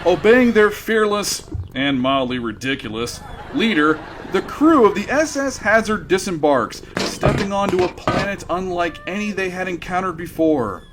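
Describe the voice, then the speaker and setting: A man's voice: sits high, expressive, normal speed, background noise. A man playing a wry, theatrical narrator of a sci-fi comedy radio drama.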